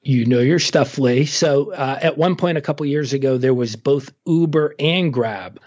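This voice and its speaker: A man giving relaxed, informative travel advice in a casual conversation, with frequent fillers. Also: mid-range voice, moderate pace, lo-fi sound. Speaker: travel guest expert.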